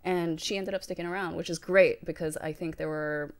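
A woman's voice: lowish voice, steady tone, a little fast. A young woman co-hosting a casual film podcast, talking on a handheld mic with lots of fillers and restarts. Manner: chatty, many fillers and restarts, laughs often. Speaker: podcast co-host. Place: living room studio. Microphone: handheld dynamic mic with headphones.

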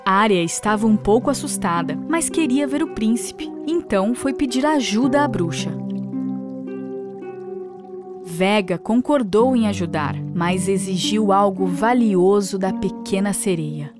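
A woman narrating a children's fairy tale in a clear, scripted storytelling manner. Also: mid-range voice, animated, normal speed, hardly any pauses. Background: background music.

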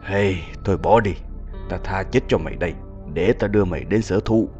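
Male actor as rustic hunter, low and excitable, cartoon dub, muffled sound under music. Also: brisk, close to an everyday voice. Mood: relenting. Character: hunter and villagers, gruff excitable grown men. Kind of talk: animated film dubbing.

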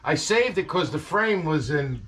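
A man's voice: fairly high pitch, animated, unhurried, fairly bright tone. A middle-aged man, plain-spoken and easygoing, talking about his cars in an old wooden barn and often laughing. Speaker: car collector, interviewee. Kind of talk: casual chat. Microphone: host's camera mic, a few feet away. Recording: noisy barn audio.

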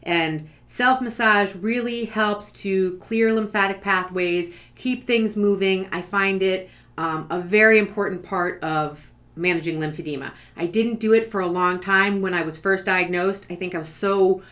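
A woman's voice: mid-range voice, a bit bright. Conversational woman explaining things informally. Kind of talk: informal explanatory presentation to camera. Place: small furnished room at home, indoors.

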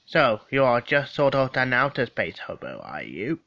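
A man voicing an inquisitive, wry male guest in a fan audio drama, asking slow, probing questions, on a dull, dry recording. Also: mid-range voice, sing-song, vocal fry, bright, forceful tone, no echo, exaggerated voice.

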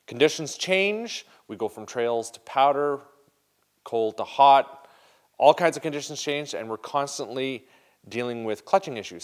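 A man in his forties hosting a casual, upbeat how-to demonstration in an indoor garage workshop, talking straight to viewers on a lapel mic. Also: mid-range voice. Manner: upbeat, direct, talks to viewers as "you guys". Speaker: show host demonstrating snowmobile parts.

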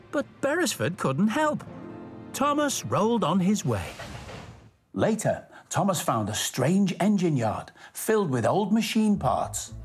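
Male children's narrator, unhurried, hugely varied intonation, over music. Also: high voice. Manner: expressive, dramatic storytelling. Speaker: storybook narrator. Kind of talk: scripted children's story narration.

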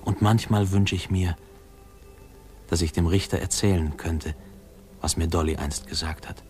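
Wistful, low-voiced male narrator. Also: measured pace, faint music behind. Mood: melancholy, reflective. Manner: reflective, lyrical. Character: wistful grown man remembering his boyhood. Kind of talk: 1950s radio drama narration. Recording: faintly noisy old radio recording.

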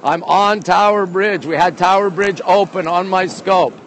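A middle-aged man hosting a casual walking live stream, chatty and excitable, often repeating himself as he reacts to viewers. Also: high voice, unhurried, poor audio. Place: outdoors on busy city streets, walking. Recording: outdoor street and traffic noise.

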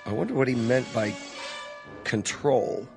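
Casting: practical middle-aged father, mid-range voice, firm and brisk, radio drama. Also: medium pitch, gravelly, over music.

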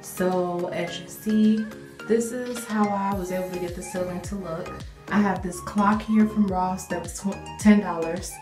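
A woman's voice: medium pitch, fairly even intonation, a little slow, roomy sound, music in the background. A woman gives an enthusiastic, chatty walkthrough of her small bathroom, talking into the phone she films with. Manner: enthusiastic, chatty, with some ums. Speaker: cleaning vlogger. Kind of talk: casual walkthrough of a finished bathroom.

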